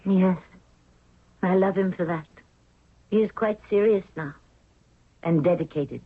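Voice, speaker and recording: A woman's voice: on the low side, measured pace, husky, no echo. An actress playing a devoted young Egyptian queen in a 1970s radio drama, earnest and formal. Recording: narrow, tinny 1970s radio recording.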